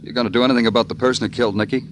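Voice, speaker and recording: An American actor playing a world-weary, sardonic police detective in a radio noir, terse and brooding in dialogue and narration. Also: male voice, mid-range voice, steady tone, rapid-fire, background noise, natural voice. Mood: probing. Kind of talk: noir scripted narration and 1950s radio acting. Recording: old radio recording with music behind.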